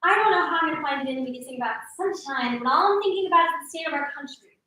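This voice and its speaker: A woman's voice: high voice, animated, rapid-fire, sharp tone. A woman playing a wry, easygoing young female theatre performer in a staged play reading, chatty and teasing. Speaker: drama student actor. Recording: very echoey room, distant microphone.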